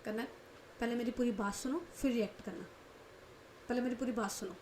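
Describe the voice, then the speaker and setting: A woman voicing a calm, protective young woman who soothes and reassures in a told story, speaking softly. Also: on the high side, a little fast, a little hiss or hum, lightly acted. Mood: reassuring.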